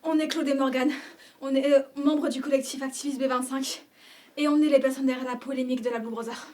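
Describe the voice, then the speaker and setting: A woman's voice: high-pitched, steady tone, fairly quick, darkish tone, natural voice. A woman playing an earnest activist and announcer in a scripted audio drama. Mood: remorseful, earnest. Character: female narrator and remorseful activist.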